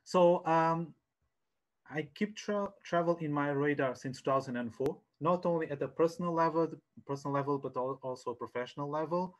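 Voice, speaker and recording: A man leads an online webinar as a coach. His pitch sits in the middle range. He speaks haltingly, often repeating a word as he feels for the next one, and is recorded on a headset. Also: fairly bright tone. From Portugal. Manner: hesitant, halting, repeats words. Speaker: sales coach giving a webinar. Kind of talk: informal online presentation. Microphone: headset on a video call.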